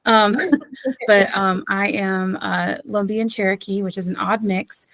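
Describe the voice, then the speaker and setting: Woman pastor from southeastern North Carolina, a podcast guest; easy storyteller who laughs often, mid-pitched voice, dry close sound. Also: fairly even intonation, measured pace, a bit bright, no echo. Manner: chatty, laughs often, many fillers. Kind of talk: casual interview storytelling.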